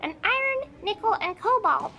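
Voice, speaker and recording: Woman voicing a very high-pitched, haughty old puppet teacher. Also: animated, normal speed, creaky, pushed and bright, dull audio, slight background noise, exaggerated voice. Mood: lecturing, haughty. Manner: haughty, exasperated, scolding, lecturing. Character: grumpy, conceited old talking periodic table puppet, impatient teacher. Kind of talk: educational puppet show skit.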